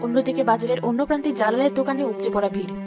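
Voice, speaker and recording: A woman's voice: a little high, rapid-fire. A woman giving scripted narration for a village moral story. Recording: loud music under the voice.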